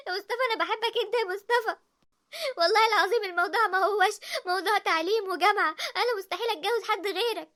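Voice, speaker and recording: A woman's voice: extremely high pitch, a bit bright. A woman playing a defiant young Egyptian girl. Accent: Egyptian Arabic. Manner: pleading, defiant. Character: desperate young Egyptian daughter, student.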